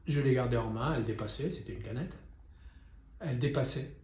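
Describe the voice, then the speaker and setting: An older man tells a story to his viewers with a warm, mellow voice. He talks in a loose, chatty way full of wry asides and direct questions. His voice echoes around the room. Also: mid-range voice, brisk. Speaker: painter and sculptor. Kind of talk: storytelling talk to camera.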